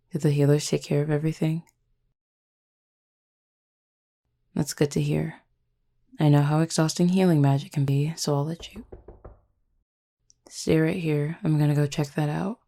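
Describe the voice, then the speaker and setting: A protective female pack leader acted by a woman in an audio drama, with a low, mellow voice. She speaks in a measured, authoritative way, firm but caring, and her delivery stays level with a gravelly edge. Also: steady tone, heavily acted. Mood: gentle, reassuring. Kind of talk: audio roleplay acting.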